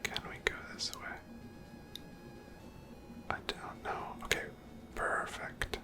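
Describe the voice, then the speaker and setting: A person whispering gentle, chatty ASMR commentary over a video game, breathy and close to the microphone. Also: takes it slowly. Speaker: gameplay host.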